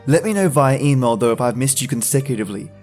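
A man's voice: mid-range voice, animated, quick pace, a little mellow. A man hosting a podcast, speaking directly to his listeners in an outro style. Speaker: podcast host. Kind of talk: scripted podcast outro with listener shout-outs. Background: music.

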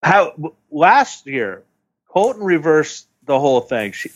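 A man's voice: on the high side, big pitch swings, a little slow. A man co-hosting a podcast, recapping a reality dating show in casual chat and often restarting his sentences. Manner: rambling, restarts mid-sentence. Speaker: podcast co-host. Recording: dull lo-fi sound.

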